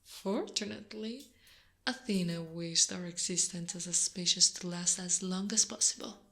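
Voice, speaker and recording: A woman playing a possessive, predatory female spider monster in an ASMR roleplay, teasing and often slipping into a breathy half-whisper. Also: on the low side, normal speed, sharp tone. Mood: sly storytelling. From Italy.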